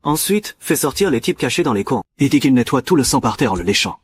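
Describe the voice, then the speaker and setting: A man plays a ruthless cartoon cat who runs a gang. He speaks at a middling pitch in a cold, menacing, commanding way. Ambient noise sits clearly behind the voice. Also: very animated, quick pace, natural voice. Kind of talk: dubbed animated series acting.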